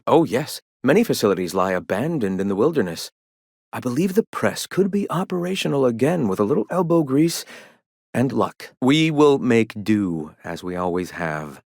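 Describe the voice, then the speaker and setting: A zealous rebel prophet for a video game, with a fairly low male voice in a dry, close recording. He speaks in solemn, lofty phrases and preaches his philosophy with grandiose conviction. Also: dry recording. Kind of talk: video game dialogue acting.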